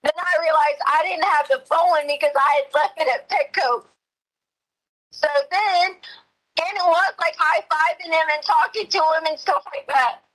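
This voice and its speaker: High, bright-voiced woman on a phone call. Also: steady tone, unhurried. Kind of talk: emotional personal account over the phone.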